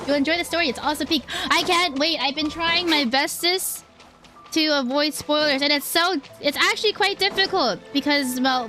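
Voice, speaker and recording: High bright woman streaming a game. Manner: playful, exclamatory, talking to viewers. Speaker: streamer playing a video game. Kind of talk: casual chatty game livestream commentary.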